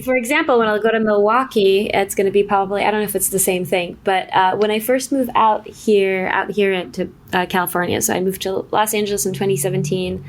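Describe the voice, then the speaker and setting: A woman from Israel talking casually as an interview guest, telling stories in a chatty, halting way that often interrupts itself. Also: mid-range voice. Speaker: guest, screenwriter. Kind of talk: casual conversational storytelling.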